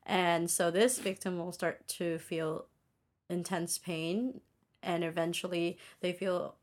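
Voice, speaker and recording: Young woman co-host, non-native English speaker, low-pitched and chatty, explains with fillers and laughter. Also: level tone, takes it slowly. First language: Filipino language. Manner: giggly, explains a topic with many fillers and restarts. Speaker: podcast co-host. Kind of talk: casual chat.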